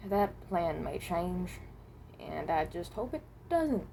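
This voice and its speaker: A woman voicing a reluctant, resigned animatronic rabbit from another dimension in a fan roleplay, speaking haltingly and correcting herself. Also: on the low side, big pitch swings, creaky, mellow, a little hiss or hum, exaggerated voice. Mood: polite, guarded. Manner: hesitant, halting, self-correcting.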